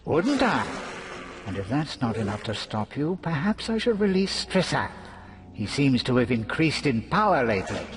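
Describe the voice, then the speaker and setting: An actor playing a gleeful, mocking trickster villain in a video game, taunting with drawn-out theatrical sarcasm. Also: male voice, medium pitch, very animated, noisy background, close to an everyday voice. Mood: silky menace.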